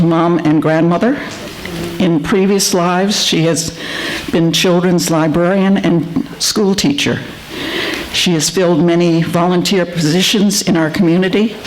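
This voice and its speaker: A woman reading a nomination speech at a town meeting, earnest and a little halting. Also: lowish voice, a little hiss or hum, rough recording. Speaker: resident giving nominating speech.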